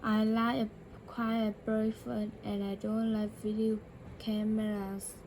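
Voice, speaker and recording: A woman practising English by repeating a dialogue line by line, slowly and carefully, with occasional self-corrections. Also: mid-range voice, completely flat delivery, noisy background. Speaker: English learner.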